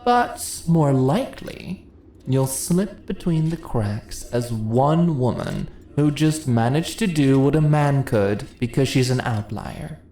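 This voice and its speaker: A woman playing an ancient, seductive goddess in an ASMR fantasy roleplay, speaking in a theatrical, deliberate, condescending yet coaxing manner. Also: a bass voice, lively intonation, warm tone, exaggerated voice. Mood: stern, persuasive. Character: ancient seductive goddess, imperious, teasing, persuasive. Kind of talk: ASMR fantasy roleplay, intimate dramatic monologue. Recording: roomy echo, audible ambient noise.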